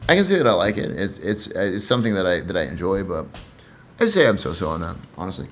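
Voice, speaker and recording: A man's voice: deep voice, very animated, fast talker. A man who reads and comments on poetry in a casual, reflective way, somewhat hesitant and repeating himself.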